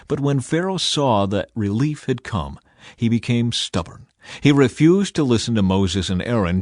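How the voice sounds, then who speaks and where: A man's voice: fairly low pitch, no room sound. Man narrating scripture in a dry studio. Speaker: audiobook narrator.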